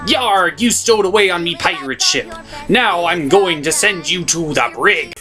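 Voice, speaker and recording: A man's voice: high voice, expressive, unhurried, sharp tone, soft background music, heavily acted. A man voicing a blustering but easily won-over pirate captain with a stage-pirate accent.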